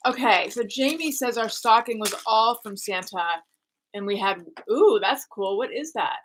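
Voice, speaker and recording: Bright chatty woman reading comments. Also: a little high, lively intonation, measured pace, a little hiss or hum, poor audio. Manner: chatty, reads viewer comments aloud. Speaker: host. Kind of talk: casual livestream chat. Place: home.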